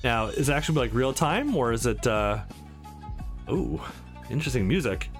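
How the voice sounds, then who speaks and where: A man's voice: mid-range voice, expressive, normal speed, faint music behind. A man hosting a let's play, chatting casually as he comments on a game. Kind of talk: casual game commentary, reading dialogue aloud.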